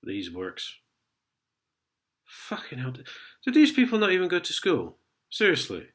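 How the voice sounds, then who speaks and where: A man's voice: a little high, huge pitch swings, a little slow, a bit bright. A man with a sniffly head cold, chatting in a sarcastic vlog and chuckling as he reads letters aloud. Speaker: vlogger. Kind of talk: casual ranting vlog.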